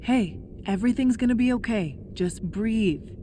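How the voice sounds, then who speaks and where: A woman's voice: a little high, normal speed, warm tone, slight background noise, lightly acted. A young woman playing a determined, caring corporate insider in a video game, earnest and reassuring. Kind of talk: video game cutscene acting.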